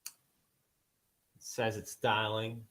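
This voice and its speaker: A man's voice: fairly low pitch, monotone, very slow, fairly bright tone. A man playing a police constable who is trying too hard to seem hip, rambling eagerly as a radio guest with lots of filler words. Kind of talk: comedic improvised radio talk.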